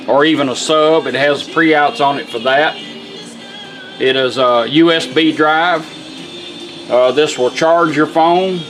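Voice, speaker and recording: A man's voice: mid-range voice, poor audio. A middle-aged man with a Southern American accent giving a casual, plainspoken product demonstration in a folksy way. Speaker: radio restorer and product demonstrator. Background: music playing.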